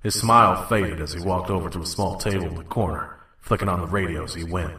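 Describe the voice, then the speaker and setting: A man voicing a wary, gruff stallion trader who narrates a wasteland audio drama tersely. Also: low voice, fast, sharp tone, reverberant, distant mic. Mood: brooding. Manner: terse, guarded. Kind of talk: first-person story narration and dialogue.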